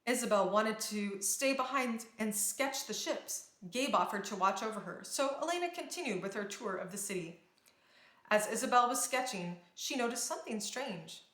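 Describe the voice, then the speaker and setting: A woman's voice: on the high side, animated, moderate pace, fairly bright tone. A woman narrating a children's storybook aloud, reading expressively and carefully. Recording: roomy indoor echo.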